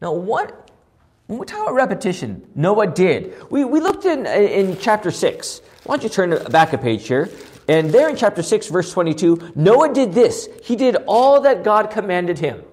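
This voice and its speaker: A man with a hoarse voice teaching a Bible passage, asking questions and answering them himself. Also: high-pitched, very animated, moderate pace, darkish tone. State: losing his voice, hoarse. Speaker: pastor teaching a Bible study.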